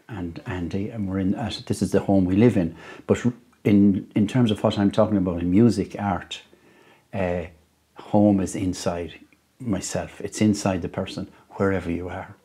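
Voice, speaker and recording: An Irish musician and storyteller giving reflective interview answers at home, speaking slowly and thoughtfully, with frequent pauses and restarts. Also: male voice, low voice, mellow, slightly rough. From Ireland. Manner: slow, thoughtful, halting with many restarts.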